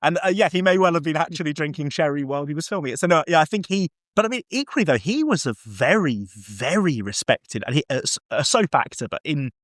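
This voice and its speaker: A man's voice: on the high side, sing-song, fast talker, hardly any pauses, a bit bright, dry recording, clean studio sound. A man chatting casually as a podcast host about film and TV, enthusiastic, rambling and prone to swearing.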